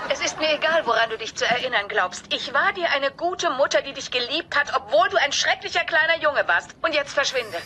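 Bright, high-pitched haughty older mother. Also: expressive, brisk, few pauses. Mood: irritated, dismissive. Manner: curt, imperious. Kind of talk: German sitcom dubbing.

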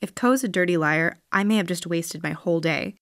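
A woman's voice: on the low side, brisk, faint music behind, close to an everyday voice. A woman playing an earnest, questioning girl raised in space, in a science fiction audio drama.

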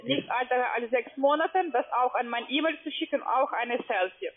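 A man with an unusually high voice, working a scam call in halting, broken sentences. He keeps pressing his point in an insistent way. His voice reaches the listener through a tinny phone line. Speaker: scam caller. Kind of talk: phone conversation.

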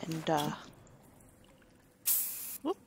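A man's voice: very slow, noisy background. A man casually commentating a survival game, thinking aloud as he plays. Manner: relaxed, chatty, thinking aloud while playing. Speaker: gaming commentator. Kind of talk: casual let's play commentary.

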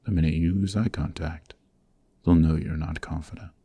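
A man performs a young mafia heir in an ASMR roleplay, stern, cold and used to giving orders. His voice sits extremely low, with a dark, mellow tone and a gravelly creak. He speaks in clipped, menacing commands. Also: steady tone, normal speed, dry recording, full character voice. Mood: stern, commanding. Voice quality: gravelly, very deep. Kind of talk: ASMR roleplay, scripted acting.

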